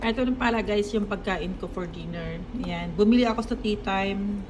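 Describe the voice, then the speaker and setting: A woman's voice: medium pitch, moderate pace, background noise. A woman with a Filipino accent chatting casually and informally to her viewers as a vlogger. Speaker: vlogger, event info point staff. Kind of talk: casual vlog chat to viewers. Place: outdoors or at an office doorway.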